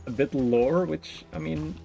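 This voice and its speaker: A man's voice: a little high, normal speed, gravelly, music in the background, rough recording. A man giving casual, jokey live commentary on a game, often muttering in broken fragments. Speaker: game streamer.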